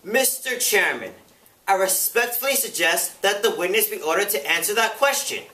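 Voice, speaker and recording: Stern congressional interrogator acted by a man in a recital, official, insistent, bright forceful voice, echoey space. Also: high voice, expressive, moderate pace, voice pushed up. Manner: official, formal, pressing. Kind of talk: dramatic prose interpretation. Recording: echoey room, picked up from far off.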